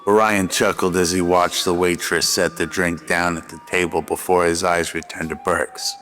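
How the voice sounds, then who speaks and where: A man's voice: low-pitched, level tone, moderate pace, fairly bright tone. A man narrating a scripted noir radio drama. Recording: music behind the voice.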